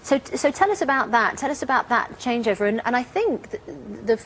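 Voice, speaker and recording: A woman puts questions in a conversational interview. Her pitch is a little high, and she rewords her questions as she goes. The recording carries faint ambient noise. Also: animated, fairly quick, a bit bright, low-quality recording.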